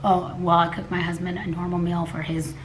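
A woman in her forties from Texas, hoarse, chatting casually to camera at home, rambling with restarts and coughs. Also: on the low side, little pitch movement, brisk, background noise. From Texas, USA. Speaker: cooking vlogger. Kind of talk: casual vlog talk to camera. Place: living room at home, indoors. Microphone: camera a few feet away at a table.

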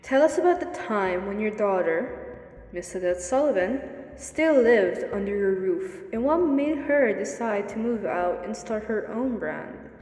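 A woman playing a composed, authoritative courtroom judge in an amateur radio drama, speaking in a formal, measured way. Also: medium pitch, animated, darkish tone. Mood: stern, composed. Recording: echoey, reverberant hall sound.

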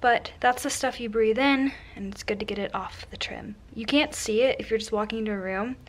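A woman's voice: on the high side, brisk. A young woman in a casual vlog voice-over, chatty and upbeat, talking viewers through her cleaning routine step by step. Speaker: home vlogger.

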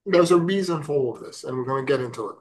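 A man's voice: mid-range voice, a little mellow. A middle-aged man teaching on spiritual practice over a video call, sounding nasal from a head cold, with frequent fillers and restarts. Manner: slow and deliberate, many fillers and restarts. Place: home room with a virtual background. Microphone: webcam video call.

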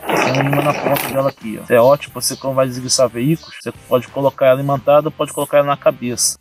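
A man's voice: a little high, breathy edge. A middle-aged man from Brazil casually showing and explaining the items of his everyday carry kit outdoors, often checking in with the viewers. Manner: explains each item, often adds "tá, gente?". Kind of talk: casual show-and-tell. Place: outdoors at a table in a yard.

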